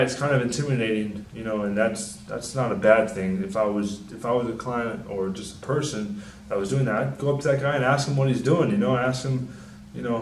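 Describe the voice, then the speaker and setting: A young man, a personal trainer, giving casual, rambling fitness advice in a deep, soft-spoken, mumbling voice, swearing freely. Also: roomy sound, distant mic, slight background noise, poor audio. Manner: laid-back, rambling, frequent swearing and fillers. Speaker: personal trainer and channel host.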